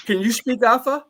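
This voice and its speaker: A man's voice: extremely high pitch, fairly bright tone, a little hiss or hum. A man speaking as a panelist in a live discussion, earnest and emphatic, often repeating a phrase as he builds toward his point.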